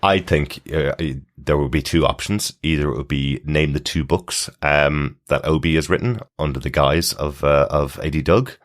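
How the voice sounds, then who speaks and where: A man's voice: rumbling low, moderate pace, few pauses. A man hosting a podcast in a casual, chatty, conversational way. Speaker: podcast host. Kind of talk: casual TV discussion, reading listener email.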